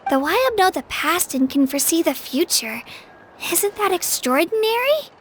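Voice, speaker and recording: Eager, high-pitched young adventurer girl. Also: very animated, moderate pace, noisy background. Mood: earnest, explaining. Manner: earnest, cheerful, chatty. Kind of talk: video game voice acting. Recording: music behind the voice.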